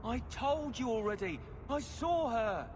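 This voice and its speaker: Eager urchin lad for a fantasy game; high, very lively voice, simple street talk. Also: male voice, unhurried, over music, heavily acted. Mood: defensive. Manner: rambling, streetwise, simple-spoken. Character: scrappy young street urchin boy, eager for coin. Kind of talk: video game voice acting. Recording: clearly noisy game ambience.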